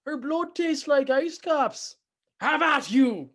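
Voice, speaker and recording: A woman's voice: high voice, animated, fairly bright tone, full character voice. A woman playing a gleeful killer leprechaun. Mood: taunting. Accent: put-on Irish. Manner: taunting, gleeful, slow. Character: menacing Irish leprechaun serial killer, male. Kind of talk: comedy sketch acting.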